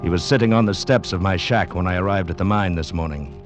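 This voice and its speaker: An actor playing a haunted mining engineer and former climber in a formal, dramatic old radio drama style. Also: male voice, low voice, quick pace, close to an everyday voice. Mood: grave, haunted. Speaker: narrator and character in radio drama. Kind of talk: 1940s radio acting, dramatic first-person narration. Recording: vintage radio recording with music.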